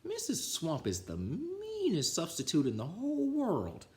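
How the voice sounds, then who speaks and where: A man's voice: sits high, huge pitch swings, a little slow, a little mellow, voice pushed up, exaggerated voice. Man as a knowing, ominous big schoolkid. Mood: warning. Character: knowing big schoolkid spreading scary warnings.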